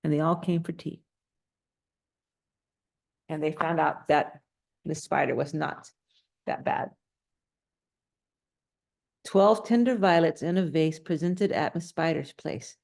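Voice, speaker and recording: A woman's voice: sits low, slow, creaky, pauses often, darkish tone. A woman reading a children's storybook aloud, adding casual, simple explanations and questions for young listeners. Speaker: storybook reader.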